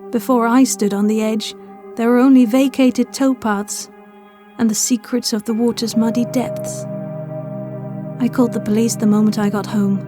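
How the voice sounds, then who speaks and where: A woman narrating a scripted first-person horror story in a measured, dramatic, haunted way. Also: fairly high pitch. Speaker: audio fiction narrator. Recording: music playing behind the voice. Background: atmospheric new-age music.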